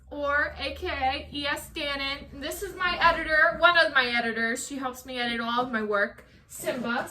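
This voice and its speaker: A woman author, animated and playfully exasperated, chatting casually and reading her own poem. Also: sits high.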